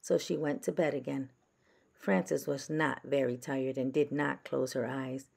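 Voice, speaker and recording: Low, slow woman reading a storybook. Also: fairly even intonation, vocal fry, darkish tone, slightly rough. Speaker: storybook reader. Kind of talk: children's read-aloud narration.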